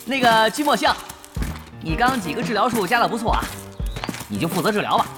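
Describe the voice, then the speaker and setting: A brash young party leader in an online game radio drama. He is bossy, excitable and slangy, with a very animated pitch. Loud music runs behind the voice. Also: brisk. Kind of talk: animated Chinese audio drama acting.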